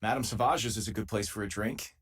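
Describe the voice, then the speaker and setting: A man playing a loyal, easygoing former miner and spaceship crewmate in a video game, with a husky, casual, wry delivery. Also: fairly low pitch, brisk, a bit bright. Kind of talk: video game companion dialogue.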